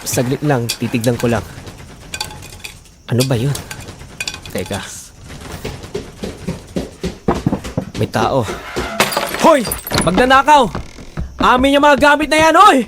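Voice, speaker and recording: A man's voice: high voice, a little slow, long pauses, background noise. A man playing an earnest, persevering husband who pleads for his family in a Filipino audio drama. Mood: wary, then panicked, distressed.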